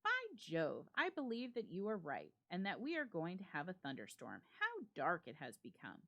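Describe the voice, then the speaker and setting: A woman voicing a cheerful young gentleman host in a dramatic storybook reading, chatty and hearty in manner. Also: on the low side, wildly swooping pitch, normal speed, lo-fi sound, full character voice. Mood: breezy, welcoming.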